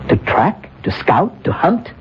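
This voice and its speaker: Bewildered, solemn scout, swooping intonation. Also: male voice, a little high, sing-song. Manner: halting, solemn, deliberate. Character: bewildered, earnest Native scout in a modern man's body. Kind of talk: old-time radio drama acting. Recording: muffled, faintly noisy radio broadcast recording.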